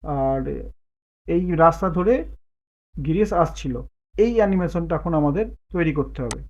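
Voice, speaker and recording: A man giving casual step-by-step software instruction, thinking aloud as he works. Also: fairly high pitch, expressive, measured pace, slight background noise. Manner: explains while working, thinking aloud. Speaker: tutorial host. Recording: muffled phone-like narow-band sound.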